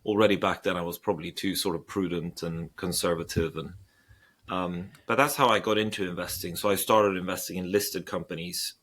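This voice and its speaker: A man's voice: sits low, fairly even intonation, brisk, fairly bright tone. A man in his forties from Sweden, a guest investor answering questions thoughtfully, with frequent hesitations and restarts. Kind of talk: interview.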